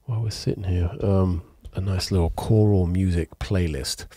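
Congested man with a deep low voice. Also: fairly even intonation, normal speed, dark tone, husky, dry recording, studio quality. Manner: rambling, self-correcting, frank. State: congested, stuffy nose. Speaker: podcast host and musician. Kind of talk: casual solo talk while playing piano. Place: home music studio with keyboards. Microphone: studio mic on boom arm, headphones on. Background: his own piano playing.

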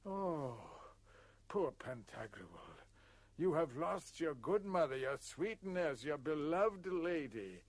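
A man's voice: fairly high pitch, a little slow, vocal fry, fairly bright tone, dry recording, full character voice. A man playing an ageing giant nobleman in a storybook reading, theatrical and declamatory, given to melodramatic exclamation. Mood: mock-tragic grief. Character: ageing giant nobleman, bellowing melodramatically between grief and glee.

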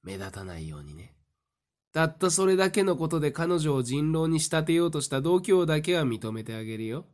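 A man playing a smug, calculating young fortune-teller in an audio drama, explaining things deliberately. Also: on the high side. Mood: smug, mocking.

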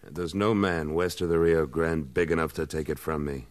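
A man's voice: extremely low pitch, fairly even intonation, moderate pace. An actor playing a tough, laconic American cattleman in an old-time radio western, speaking in a terse, self-assured way. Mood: hard, proud. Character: tough, laconic cattleman gunslinger, a former cavalryman. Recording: old radio recording, faint music.

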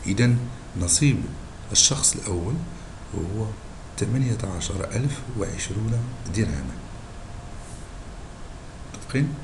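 A male math teacher with a Moroccan Arabic accent, hoarse and husky from a cold, explaining a lesson slowly and patiently and checking in with his students. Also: lowish voice, expressive, background noise. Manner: slow and patient, checking in with listeners. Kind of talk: screen-recorded math lesson.

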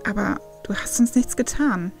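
A woman plays a caring young man in an audiobook. The friend sounds earnest, soothing and forgiving, at a medium pitch with faint music underneath. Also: moderate pace, creaky, natural voice. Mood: reassuring. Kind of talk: acted audiobook dialogue.

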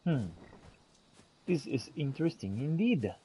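A man's voice: on the high side, sing-song, a little slow, dark tone, slight background noise. A man role-playing aloud as he plays a fantasy game, mixing archaic theatrical phrases with casual asides. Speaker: gameplay streamer role-playing. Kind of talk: in-character role-play commentary on a fantasy game.

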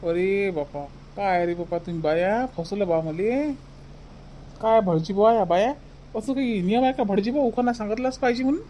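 A man's voice: high voice, moderate pace, mellow, a little hiss or hum, close to an everyday voice. A man voicing a grumbling, henpecked village husband in a comedy cartoon, folksy.

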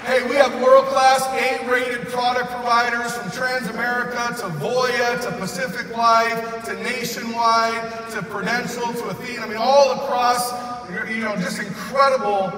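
A man in his thirties giving a loud, excited motivational speech on a big convention stage, often shouting to the crowd. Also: very high-pitched, measured pace. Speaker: convention speaker. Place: large convention arena stage. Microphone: far recording from the audience. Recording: echoey arena recorded from far off.

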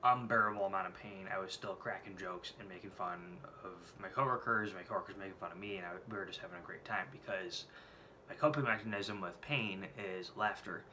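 A man's voice: low voice, brisk, sharp tone. A man in his late twenties with a head cold and a scratchy throat, talking candidly and rambling in a casual vlog, swearing freely, recorded at home on a desk camera. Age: late 20s. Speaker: vlogger. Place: small room at home, indoors.

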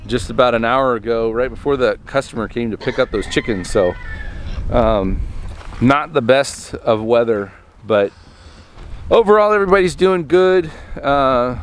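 A man's voice: mid-range voice, a little slow, a little hiss or hum. A man in his thirties talking casually to a handheld camera outdoors on his farmstead, rambling with many ums and restarts. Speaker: homestead vlogger. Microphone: handheld camera at arm's length.

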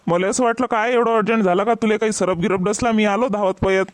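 Man acting as exasperated village husband, very high pitch, Vidarbha Marathi accent, sarcastic complaints, comedy cartoon, dry sound. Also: steady tone, fast, no room sound, close to an everyday voice. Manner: complaining, sarcastic rustic banter. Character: exasperated, grumbling village husband with long-suffering temper.

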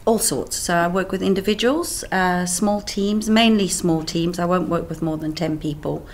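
South african lady in her 50s, low-ish voice, chatty and frank. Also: moderate pace, slight background noise. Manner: candid, chatty, laughs easily. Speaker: sales trainer guest. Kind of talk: casual interview answers.

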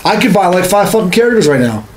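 A man's voice: sits high, very animated, quick pace. A man in his thirties chatting casually as a podcast co-host in a small indoor room. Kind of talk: casual conversational chat about games and movies. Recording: echoey, noisy room recording.

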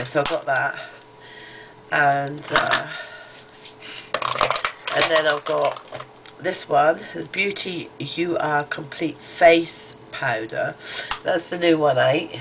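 A middle-aged woman talks close to her webcam in a small bedroom, showing off makeup she bought. Her voice is nasal and bunged up from a cold, and she wanders through her sentences with ums and restarts. The home recording carries a clear layer of background noise. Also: low voice. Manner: rambling, hesitant, lots of ums. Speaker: beauty vlogger. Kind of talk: casual makeup haul chat. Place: small cluttered bedroom, indoors. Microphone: webcam close to the face. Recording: noisy home webcam audio.

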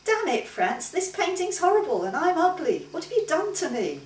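A woman's voice: very high-pitched, big pitch swings, moderate pace, pitched above the performer's own voice. A woman voicing a vain, indignant female artist's model in a story reading, complaining and demanding. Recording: roomy, distant mic, faint hiss.

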